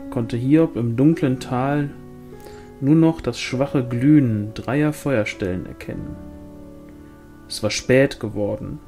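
A man's voice: medium pitch, mellow. A man reading a novel aloud as scripted audiobook narration. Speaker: audiobook reader.

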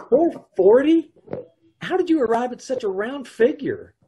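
A man's voice: very high-pitched, lively intonation, slow pace, warm tone, a little hiss or hum, heavily acted, rough recording. A man acting an exasperated, sarcastic husband who also narrates in an old-time radio comedy, recorded over a video call. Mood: incredulous. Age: middle-aged. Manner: dry, incredulous, blustering. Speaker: amateur radio troupe actor. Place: home room, on a video call.

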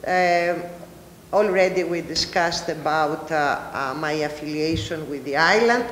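Halting Greek-accented woman in an echoey hall. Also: low-pitched, a little hiss or hum. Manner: halting, many filler pauses. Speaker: university lecturer presenting research. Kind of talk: academic panel presentation. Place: large ballroom, panel table. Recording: echoey hall with long reverb.

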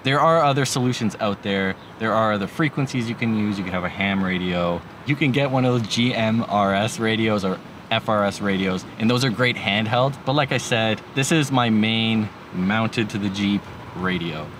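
A man in his thirties with a fairly low voice talks casually through a piece of gear. He sounds easygoing yet keen, chatting to the camera in everyday words, with clear vehicle and outdoor noise behind him. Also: normal speed. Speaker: vlogger demonstrating vehicle gear. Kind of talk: casual product walkthrough to camera. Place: inside a parked jeep with open door, outdoors.

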